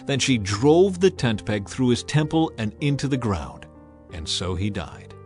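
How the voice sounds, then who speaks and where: A man reading scripted scripture narration in a measured, solemn storytelling manner. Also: medium pitch, very animated, a little mellow. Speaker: narrator of a dramatized audio Bible. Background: music under the voice.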